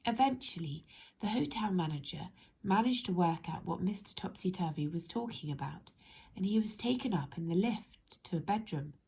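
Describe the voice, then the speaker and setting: A woman narrating a children's story expressively, in measured phrases that speak directly to young listeners. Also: on the low side, expressive, creaky, darkish tone, breathy edge. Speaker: storybook reader.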